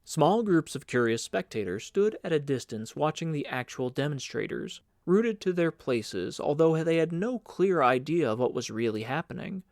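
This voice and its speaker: A man's voice: a little high, animated, moderate pace, hardly any pauses, no room sound, studio quality. A man narrating a literary audiobook from a script.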